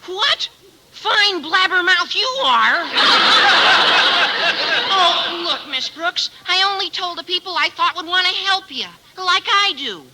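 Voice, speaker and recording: An actor playing an excitable, meddling teenage boy student with a squeaky voice in a 1950s radio sitcom. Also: slow pace. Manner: squeaky, indignant, eager. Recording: noisy, crackly old radio recording.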